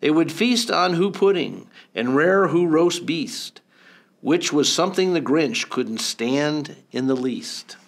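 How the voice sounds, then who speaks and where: Man, mayor, reading a kids' rhyming book aloud, expressive, slightly high voice. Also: takes it slowly. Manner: expressive, rhythmic storybook reading with swings in loudness. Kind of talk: reading a children's storybook aloud.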